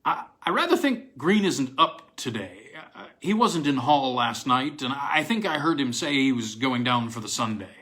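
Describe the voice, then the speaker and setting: A man reads the part of a measured college fellow from a storybook. He is obliging and precise, hesitating as he picks out details. The voice is bright, with lively pitch movement. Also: natural voice. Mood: hesitant. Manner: hesitant, precise, matter-of-fact.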